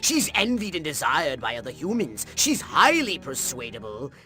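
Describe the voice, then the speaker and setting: Sharp, scornful alien commander. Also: male voice, a little high, wildly swooping pitch, moderate pace, soft background music, full character voice. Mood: scornful, commanding. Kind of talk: video game cutscene acting.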